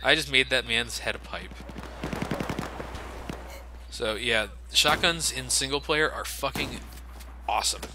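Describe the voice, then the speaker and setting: A young man giving relaxed, casual commentary over a game, swearing freely and laughing often. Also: mid-range voice, unhurried, long pauses. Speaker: let's play commentator. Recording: game gunfire noise behind. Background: game gunfire and ambience.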